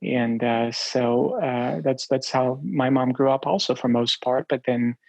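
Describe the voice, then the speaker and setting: A man in his forties, originally from Romania, telling his life story in an interview, with many pauses and fillers. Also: medium pitch, creaky, darkish tone, dry recording. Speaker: guest.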